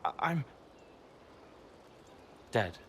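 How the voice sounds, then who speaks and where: An actor playing a gentle, haunted spirit in the form of a young man, speaking in halting, fragmented phrases for a video game. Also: a little hiss or hum. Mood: anguished. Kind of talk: video game character acting.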